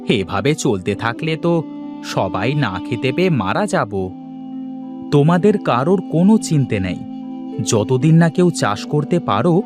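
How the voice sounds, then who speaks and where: An earnest village farmer, played by a man in a cartoon folktale. His voice is fairly high and very animated, patient and generous in temper, with light music behind. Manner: earnest, expressive cartoon dialogue.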